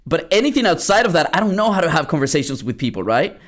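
A man's voice: on the high side, very animated, fast talker, fairly bright tone. Rambling man telling a story. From Peru. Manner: rambling, many restarts and fillers. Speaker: podcast host. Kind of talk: casual solo podcast storytelling.

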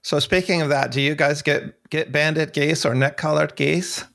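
American male co-host with a bright, gravelly voice, jokey and loose. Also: a little high, fairly even intonation, moderate pace. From United States. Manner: joking, loose phrasing. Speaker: podcast co-host. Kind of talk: casual interview chat.

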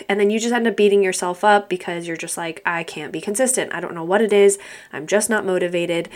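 A young woman with a stuffy, congested nose hosting her podcast in a chatty, rambling way, with frequent ums and little laughs. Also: lowish voice. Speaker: podcast host. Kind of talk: casual solo podcast talk.

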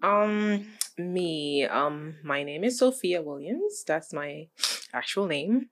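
A woman's voice: deep voice, expressive, slow. A young woman from Guyana chatting casually on a podcast, laughing easily as she talks. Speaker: podcast co-host.

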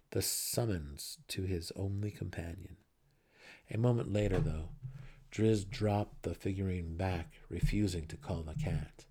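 A man reading a fantasy audiobook as a dramatic narrator, with theatrical, deliberate emphasis. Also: lowish voice, vocal fry, warm tone.

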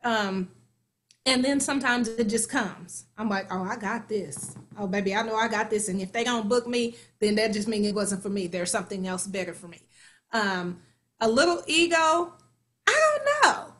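A woman's voice: medium pitch, normal speed. A woman in her forties from Kansas City, a stage actor and singer, chatting as a guest and acting out her own thoughts as she tells stories. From Kansas City, USA. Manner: lively storytelling, acts out her own inner voice. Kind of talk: conversational interview.

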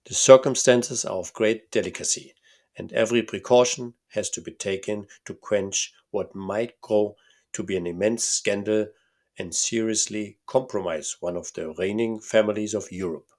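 A man voicing a huge, masked male nobleman with a deep, harsh voice and a German accent, speaking formally and emphatically. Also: moderate pace, a little mellow, close to an everyday voice. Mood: imperious.

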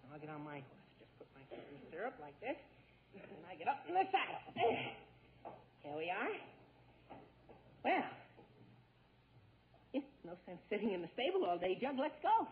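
An eager, impatient teenage boy with a high, very animated voice. He exclaims and sighs in exasperation in 1940s radio comedy style. The recording is a muffled vintage broadcast. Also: moderate pace, long pauses, background noise. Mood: exasperated. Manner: exclamatory, exasperated with his friend.

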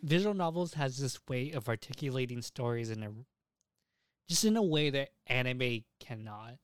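A man's voice: medium pitch, lively intonation, normal speed, clear, resonant tone, dry recording, studio quality. A young adult man hosting a casual podcast, chatting in an enthusiastic, informal way with plenty of swearing. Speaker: podcast host.

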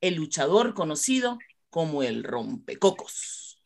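A woman's voice: low-pitched, sing-song, a little slow, gravelly, full character voice. A woman with a Venezuelan accent narrating a melodramatic radio soap, telling the story dramatically. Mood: dramatic.

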